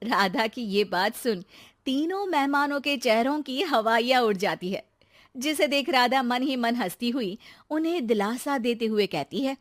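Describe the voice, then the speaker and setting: Expressive high-pitched woman storyteller. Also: a little slow, no room sound, clean studio sound. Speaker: storyteller, narrator. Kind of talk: scripted moral story narration for a cartoon.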